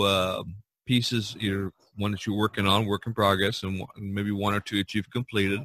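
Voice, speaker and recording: A man's voice: fairly low pitch, creaky, a bit bright. A man hosting a casual podcast chat over a video call, rambling with many fillers and restarts. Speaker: podcast host.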